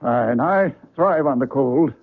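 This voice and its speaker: An actor playing a genial, tactfully persistent visitor, courteous and hesitant, in an old-time radio drama. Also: male voice, mid-range voice, animated, slow, phone-quality sound. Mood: genial, tentative. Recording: thin, band-limited old radio recording.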